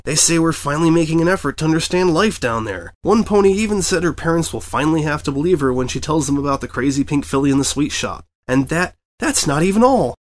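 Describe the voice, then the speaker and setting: A man's voice: on the high side, voice pushed up, natural voice. A man voicing a furious frazzled mare editor. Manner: shouting, fuming, manic. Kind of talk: fanfic audiobook reading.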